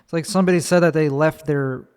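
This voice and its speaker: Chicago-accented male comic, profane rambling rant, slightly high voice, reverberant room. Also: fairly even intonation, fast, darkish tone, clean tone. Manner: profane, rambling, restarts mid-sentence. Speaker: comedian and podcast host. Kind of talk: ranting solo podcast monologue. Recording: long reverb, echoey room.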